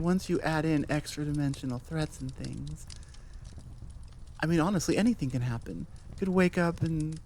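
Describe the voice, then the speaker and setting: A woman's voice: low-pitched, darkish tone, a little hiss or hum. A woman creator chatting casually in an outro about her story, rambling and loose with many fillers.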